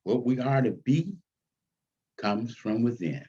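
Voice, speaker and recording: A man reading his own stage script aloud as its author, with deliberate, dramatic phrasing. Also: medium pitch, lively intonation, mellow, breathy edge, highs cut off.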